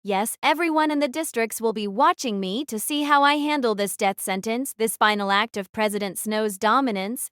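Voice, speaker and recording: High-voiced defiant teenage girl narrator played by a woman. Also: a bit bright, smooth, no echo, clean studio sound. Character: defiant, brooding teenage girl rebel telling her own story. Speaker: narrator and lead actress. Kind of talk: first-person audio drama narration.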